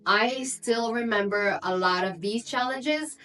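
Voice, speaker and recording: A woman's voice: on the high side, a little slow, pushed and bright, faint music behind. A woman in her thirties, raised in Europe after an early childhood in North Africa and not a native English speaker, talking straight to camera in an upbeat, conversational vlog with frequent fillers. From Morocco, raised in Belgium. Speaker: vlogger, entrepreneur. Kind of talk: casual direct-to-camera vlog.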